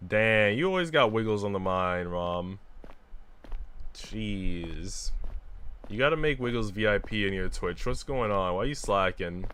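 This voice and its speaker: A man's voice: on the low side, animated, unhurried, fairly bright tone, a little hiss or hum. A man livestreaming a visual novel, reading it aloud and chatting casually with informal, joking asides.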